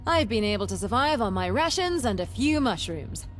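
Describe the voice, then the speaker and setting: An eccentric young female treasure hunter for a video game. Her delivery is highly animated and chatty, full of boastful, dramatic emphasis. Quiet music plays behind her. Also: moderate pace. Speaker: game companion character. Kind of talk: theatrical video game voice acting.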